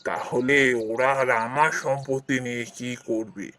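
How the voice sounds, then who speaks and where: A man playing a kind, generous old village landlord in a cartoon fairy tale, explaining things in a measured way. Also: medium pitch, bright, forceful tone, close to an everyday voice. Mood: benevolent, earnest.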